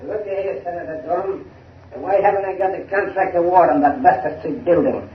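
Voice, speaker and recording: An actor playing an impatient, tough contractor who pays bribes, blunt and pushy, in 1930s radio style. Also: male voice, sits high, normal speed, far from the mic, slight background noise, lightly acted. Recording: muffled, echoey film soundtrack from afar.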